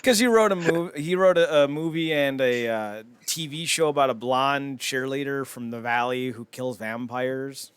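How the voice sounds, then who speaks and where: A man's voice: mid-range voice, moderate pace, few pauses, fairly bright tone, clear, resonant tone, no room sound. A man in his thirties hosting a podcast, giving casual, wry and opinionated commentary on entertainment news with joking asides. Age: 30s. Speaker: podcast host.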